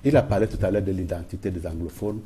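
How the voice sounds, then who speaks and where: A man's voice: low voice, fairly even intonation, fast, warm tone, slight background noise. A man from Cameroon, a guest on a political debate panel, pressing his point and repeating phrases for emphasis.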